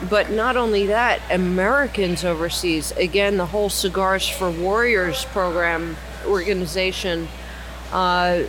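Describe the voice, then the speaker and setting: A woman's voice: on the low side, fairly even intonation, a little slow. Woman podcast host, earnest persuader; noisy lounge recording with vehicles passing. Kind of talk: casual roundtable chat. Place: cigar lounge.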